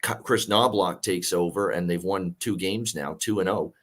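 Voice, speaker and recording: A man hosts casual sports talk in a lowish voice. It is gravelly and worn from a night of singing, and he rambles along with plenty of filler pauses. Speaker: host of a sports betting show.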